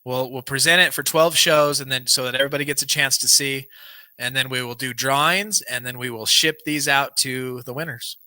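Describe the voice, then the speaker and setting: A man's voice: mid-range voice, fairly even intonation, brisk, pushed and bright, no echo. A man hosting a podcast, reading aloud and chatting earnestly, his voice worn and dry. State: losing his voice, dry throat. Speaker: podcast host. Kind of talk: reading a book aloud, then casual chat. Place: small home studio with banner backdrop. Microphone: large studio mic on boom arm.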